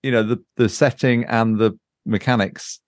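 A man with a fairly low voice talks informally as a podcast host, recorded dry with very little room sound. He thinks aloud in a casual, unscripted way, often searching for the right word. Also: fairly even intonation, normal speed, no echo. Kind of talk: casual unscripted chat about board games.

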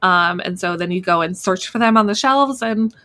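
A woman's voice: lowish voice, normal speed, pushed and bright. A woman hosting a librarians' podcast, leading a casual, informal group chat.

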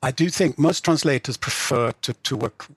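Husky middle-aged Englishman, dry close sound. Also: medium pitch, moderate pace, no room sound. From England. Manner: reflective, hedging, frequent 'you know'. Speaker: guest, writer and literary translator. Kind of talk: conversational interview.

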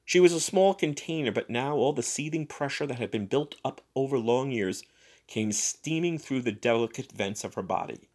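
Male story reader, mid-pitched and expressive. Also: moderate pace. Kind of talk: dramatic literary narration.

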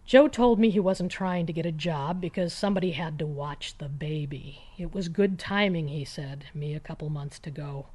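A woman's voice: low-pitched, moderate pace, hardly any pauses, warm tone. A woman reading a first-person short story in a conversational, wry and emphatic way, with clipped phrases, as if talking to someone.

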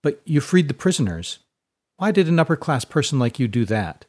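A man's voice: medium pitch, very animated, normal speed, a little mellow, pitched above the performer's own voice. A man voicing a gloomy, proud, guarded young man in a storybook, with a terse, dry manner.

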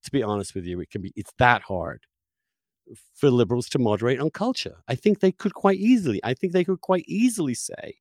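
A man with a very lively, mid-pitched voice, recorded close and dry. He talks like a podcast host giving opinions, breaking phrases up deliberately for emphasis. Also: dry recording. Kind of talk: opinionated conversational discussion.

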